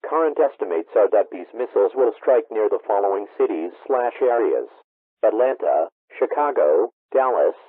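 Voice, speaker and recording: A low-pitched male announcer delivers formal emergency bulletins with an impersonal manner. His voice is husky and comes through a thin, phone-like broadcast channel. The sound is very dry. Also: expressive, normal speed, no room sound. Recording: muffled tinny radio broadcast sound.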